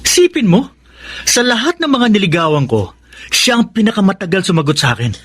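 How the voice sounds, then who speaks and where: A cocky young ladies' man in a radio drama. His voice sits high and is rough-edged, with very lively swings of pitch. He talks in a breezy, boastful way. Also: brisk, exaggerated voice. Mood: cocky, scoffing. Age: young adult. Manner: boastful, breezy, laughs off criticism. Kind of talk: Tagalog radio drama acting.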